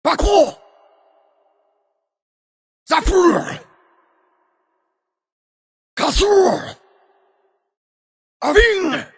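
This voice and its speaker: Game creature casting: male cat warrior, very high gravelly bellows, wildly swooping, one drawn-out word at a time. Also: lively intonation, heavily acted. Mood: fierce, forceful. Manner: bellowing single explosive words. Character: fierce male cat-folk warrior bellowing magic shouts. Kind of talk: video game battle shouts.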